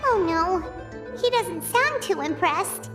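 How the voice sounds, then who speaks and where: A woman voicing a tiny, childlike floating companion in a video game, with a squeaky, cheeky, blunt delivery. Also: lively intonation, slow, music in the background, full character voice. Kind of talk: cartoonish video game voice acting.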